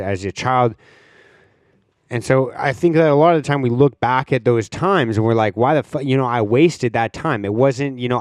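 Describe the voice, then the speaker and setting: A man's voice: mid-range voice, darkish tone, smooth, no echo, clean studio sound. A young man hosting a solo podcast, talking in a rambling, reflective way with lots of fillers and casual swearing. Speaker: podcast host.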